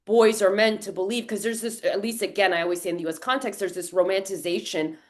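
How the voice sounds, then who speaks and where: A woman co-hosting a podcast, thinking aloud in an animated, conversational way. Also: mid-range voice, fast talker. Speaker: podcast co-host. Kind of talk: casual discussion.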